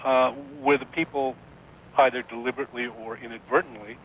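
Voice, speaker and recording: A man calling in to a radio show, discussing politics in formal, articulate wording with hesitant pauses. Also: fairly even intonation. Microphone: phone. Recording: noisy dull call-in line, low rumble.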